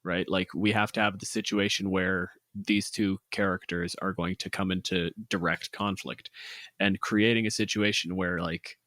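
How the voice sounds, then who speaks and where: Low, halting man thinking aloud. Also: flat delivery, bright, forceful tone, dry recording, studio quality. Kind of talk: casual planning chat.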